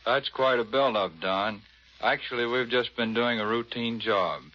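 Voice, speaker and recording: A man's voice: medium pitch, unhurried. An American man, a Navy commander giving a modest, matter-of-fact scripted interview on 1940s radio. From United States. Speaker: Navy patrol squadron commander being interviewed. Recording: muffled, slightly noisy 1940s radio.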